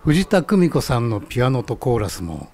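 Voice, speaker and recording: A man's voice: mid-range voice, sing-song, a little fast, a little mellow. A man playing a genial ramen stall owner in a scripted radio skit, explaining things politely. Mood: friendly, informative. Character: genial male ramen stall owner who knows his music.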